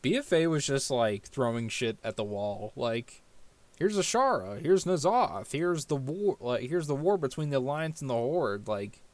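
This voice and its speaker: A man's voice: mid-range voice, lively intonation, measured pace, no room sound. A man giving casual, ranting gameplay commentary as a streamer, exasperated and sweary. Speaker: game streamer. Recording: game music behind the voice.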